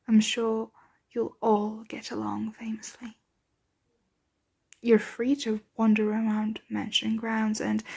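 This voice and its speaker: A woman playing a doting, genteel vampire mistress in an ASMR roleplay, speaking softly and breathily in a soothing, possessive, coaxing manner. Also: fairly high pitch, creaky, husky, full character voice. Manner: slow, coaxing, whispery soft-spoken delivery. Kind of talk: ASMR roleplay, soft intimate close speech.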